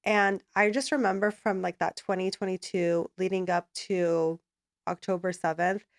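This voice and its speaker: A woman's voice: on the low side, fairly even intonation, moderate pace. A woman hosting a podcast, talking casually and thoughtfully with her guest, backing her up and building her points through repetition. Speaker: podcast host. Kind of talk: casual interview conversation.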